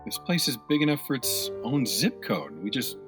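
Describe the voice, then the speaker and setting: A man's voice: medium pitch, big pitch swings, moderate pace, music in the background, close to an everyday voice. A man playing a grumbling, wry injured Secret Service agent in a radio play, with a casual manner.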